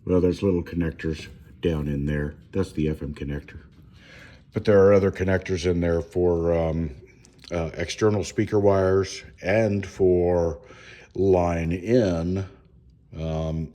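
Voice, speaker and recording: A man giving a casual, unscripted hands-on walkthrough at home, speaking haltingly with frequent pauses mid-sentence. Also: low-pitched, steady tone, mellow. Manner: halting, hesitant, pausing often mid-sentence. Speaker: home DIY reviewer. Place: indoors at home.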